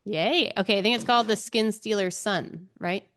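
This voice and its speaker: A woman's voice: medium pitch, normal speed, fairly bright tone, no room sound, clean studio sound. A woman co-hosting a book club podcast, chatting casually.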